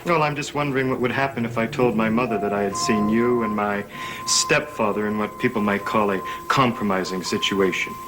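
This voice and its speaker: An actor playing a scheming young stepson in a gothic soap opera, formal, measured and insinuating, with quiet menace. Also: male voice, on the low side, natural voice, low-quality recording. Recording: old TV soundtrack with dramatic music.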